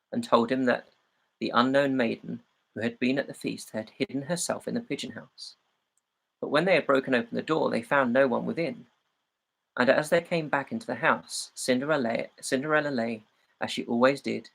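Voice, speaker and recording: A man reading a fairy tale aloud as a storyteller, in a measured and expressive way. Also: mid-range voice, gravelly, breathy edge, rough recording.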